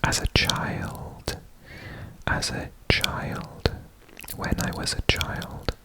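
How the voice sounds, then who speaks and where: A person from Wales whispering in a breathy, deliberate way for ASMR, repeating words. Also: poor audio. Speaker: ASMR host presenting a personal guessing quiz.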